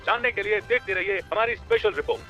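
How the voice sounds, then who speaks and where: Guy doing a lady tv news anchor in an audio story, pitched way up, sensational, music behind. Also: steady tone, fast talker, bright, forceful tone, dull audio. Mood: dramatic. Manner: dramatic, heightened. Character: excitable female TV news anchor, sensational and dramatic. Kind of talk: sensational news report delivery.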